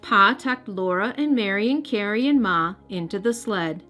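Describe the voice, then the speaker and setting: A woman's voice: medium pitch, normal speed, bright, forceful tone. A woman hosting and narrating a children's read-aloud, speaking expressively and directly to young listeners. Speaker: host and storybook reader. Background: music.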